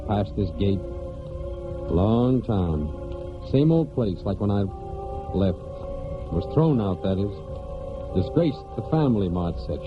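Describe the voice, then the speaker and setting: An American actor playing a disgraced, brooding prodigal son who narrates in halting, clipped phrases in a 1940s radio drama. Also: male voice, lowish voice. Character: disgraced prodigal son of a hard New England family, brooding. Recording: dull 1949 radio recording, music behind.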